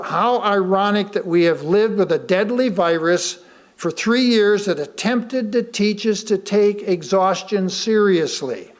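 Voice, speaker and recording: High-pitched American man reading a sermon slowly. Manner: deliberate, with pauses for emphasis and rhetorical questions. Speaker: lay reader delivering a sermon. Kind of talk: sermon read aloud to a congregation.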